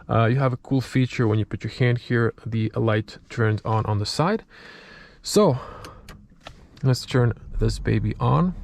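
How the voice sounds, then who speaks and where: A man with a Croatian accent talks through a casual car review in a mid-pitched, creaky voice. He is a little hesitant as he searches for words. Vehicle and ambient noise are clearly audible around him. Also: a little mellow. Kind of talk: casual point-of-view car review commentary.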